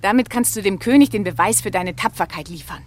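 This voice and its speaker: Actress as resourceful female leader, bossy, brisk manner, talkative, mid-pitched, children's radio play. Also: close to an everyday voice. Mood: coaxing. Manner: brisk, coaxing, scolding. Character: bossy, chatty, resourceful woman leading the Santas.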